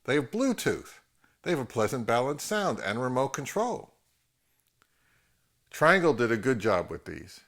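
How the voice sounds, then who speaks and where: A man giving a casual, plain-spoken product review of audio gear in a measured, conversational way. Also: mid-range voice, big pitch swings, fairly bright tone.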